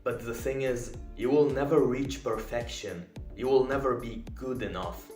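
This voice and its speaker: A man's voice: mid-range voice, moderate pace, darkish tone, echoey room, soft background music. A young man whose first language is Polish, giving an earnest, emphatic self-improvement commentary. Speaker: video essayist.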